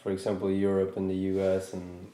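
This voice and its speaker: A man from Norway in his thirties, hosting a podcast in a reflective, casual way, pausing and restarting his sentences as he thinks aloud. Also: low voice, monotone, dark tone, roomy sound, slight background noise. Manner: thoughtful, hesitant with many restarts. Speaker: podcast host.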